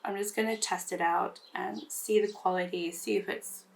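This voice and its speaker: Woman, hoarse and gravelly from recent voice loss, casual rambling beauty vlogger. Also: mid-range voice, steady tone, normal speed, poor audio. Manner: rambling, self-deprecating, many fillers and restarts. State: recovering from lost voice, hoarse. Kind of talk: casual get-ready-with-me chat.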